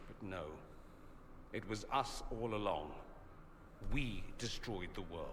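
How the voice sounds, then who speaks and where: An actor voicing a witty, self-assured fantasy mage in a video game, with a theatrical, sardonic manner. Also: male voice, lowish voice, lively intonation, slow pace, a bit bright, in a large hall, a little hiss or hum. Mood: earnest, bitter. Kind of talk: video game character acting.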